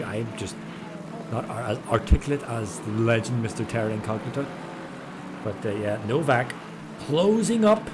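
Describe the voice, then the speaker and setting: A man giving chatty, excitable live commentary on an online race, stumbling over drivers' names. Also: mid-range voice, very noisy. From Ireland. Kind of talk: live sim racing commentary.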